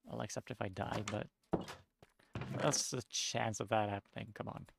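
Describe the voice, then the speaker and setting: A young man with a Norwegian accent, chatting casually while streaming a game, somewhat monotone and mumbling with unclear diction. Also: fairly low pitch, normal speed, vocal fry, heavy background noise. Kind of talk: casual chat while gaming.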